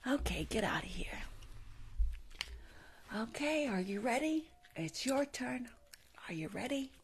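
A woman in her twenties doing hushed ASMR roleplay and livestream chat, murmuring repetitive little phrases close to the microphone. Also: a little high, sing-song, unhurried, slight background noise. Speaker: ASMR creator and livestream host. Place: small indoor room at home. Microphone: close desktop microphone, earphones on.

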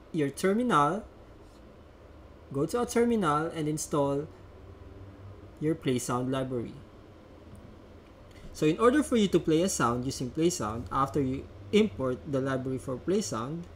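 A man's voice: fairly high pitch, a little hiss or hum. A man presenting a step-by-step instructional screencast as a tutorial narrator.

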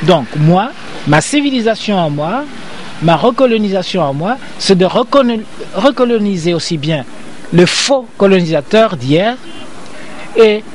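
A man's voice: high voice, slight background noise. Emphatic lecturing older man. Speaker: interview guest, professor. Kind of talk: interview.

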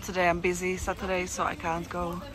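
A woman's voice: on the low side, monotone, a little fast. A woman vlogging while walking through an outdoor city market, chatting casually, rambling and correcting herself, often laughing. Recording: outdoor street with traffic noise. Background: traffic and street bustle.

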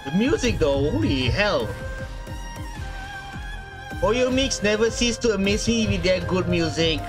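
Excitable man reacting, very lively pitch. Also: unhurried. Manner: excitable, exclamatory. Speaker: gaming reaction video host. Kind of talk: casual live reaction commentary. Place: small indoor room. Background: game trailer music.

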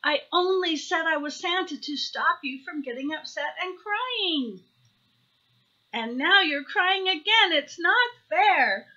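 A woman's voice: high voice, very animated, slow, bright, forceful tone, highs cut off, pitched above the performer's own voice, full character voice. A woman voicing a small, earnest baby owl for a storybook, indignant and emphatic, stretching out stressed words in protest. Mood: upset, earnest.

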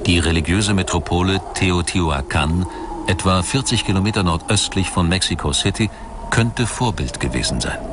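A man reading scripted documentary narration in a measured, articulate manner. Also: low-pitched, background noise.

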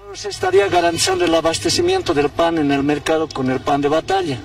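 A middle-aged man with a Bolivian Spanish accent, a union leader, speaking haltingly and repetitively to reporters on a street. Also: very high-pitched, level tone, creaky. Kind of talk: unscripted press interview. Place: outdoors on a city street. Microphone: handheld reporters' microphones. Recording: slightly distant street press microphones.

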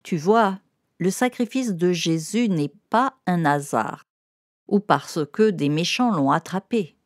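Slow, low-voiced woman narrating for children. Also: expressive, dry recording, clean studio sound. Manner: didactic, simple, addressing one child directly. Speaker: narrator of children's Bible lessons. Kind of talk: scripted narration explaining to children.

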